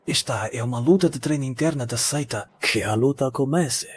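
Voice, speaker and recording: A man's voice: mid-range voice, moderate pace, lightly acted. A man with a Brazilian accent voicing a formal martial sect referee making announcements in a motion comic dub. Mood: solemn.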